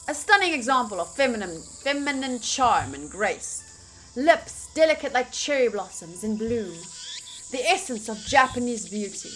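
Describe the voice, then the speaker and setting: Girl streamer reading visual novel lines, super lively and bright, bit of music in the back. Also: fairly high pitch. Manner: expressive, theatrical reading. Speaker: game streamer. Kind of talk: reading a visual novel aloud with casual reactions. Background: faint game music.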